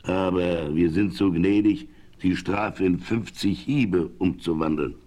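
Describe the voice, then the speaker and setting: An actor playing a righteous, authoritative homesteader in a German western radio play, speaking deliberately and sternly. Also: male voice, low voice, warm tone. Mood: stern judgment. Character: stern, righteous frontier homesteader laying down the law.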